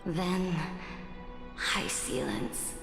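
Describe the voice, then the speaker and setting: An actress playing a proud, imperious female emperor in a game cutscene, speaking in a solemn, deliberate, regal manner. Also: lowish voice, creaky, exaggerated voice. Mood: fading, solemn. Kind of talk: dramatic game cutscene acting. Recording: echoey hall reverb, music behind.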